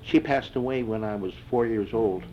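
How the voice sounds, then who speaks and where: An older man in an oral history interview, answering reflectively and haltingly with many fillers and restarts. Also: lowish voice, dark tone. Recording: dull lo-fi tape with faint hiss.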